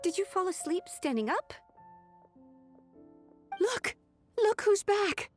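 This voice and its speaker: A woman's voice: very high voice, sing-song, takes it slowly, soft background music, exaggerated voice. A woman voicing an eager, overworked young female office clerk, talking earnestly, in a game. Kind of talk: video game voice acting.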